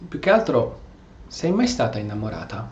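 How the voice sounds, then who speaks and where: A man's voice: fairly low pitch, big pitch swings, fairly quick, a little hiss or hum. An Italian man giving casual, playful gameplay commentary, often stumbling and repeating his words. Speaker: gameplay streamer. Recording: dull, roomy home recording.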